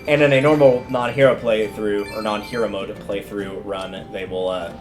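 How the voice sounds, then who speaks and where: A man with a fairly low voice gives casual gameplay commentary on a livestream. He talks colloquially, thinking out loud as he plays, and game music runs clearly behind him. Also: fairly even intonation, normal speed. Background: video game music.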